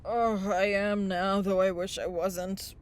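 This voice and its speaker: A woman's voice: medium pitch, level tone, takes it slowly, smooth, close to an everyday voice. A woman playing a sarcastic, exasperated young fairy in a mockumentary-style comedy audio drama, with dramatic, sardonic delivery. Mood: groggy, exasperated.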